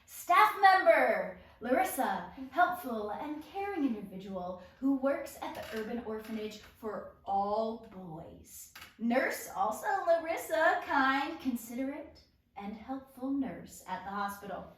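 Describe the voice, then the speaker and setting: A woman leads a script table read as narrator, announcing roles and stage directions with deliberate emphasis. Her voice is on the high side and unhurried. The recording is heavily reverberant, with the mic some way off. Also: lively intonation. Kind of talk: reading stage directions and character list aloud. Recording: very echoey room, distant mic.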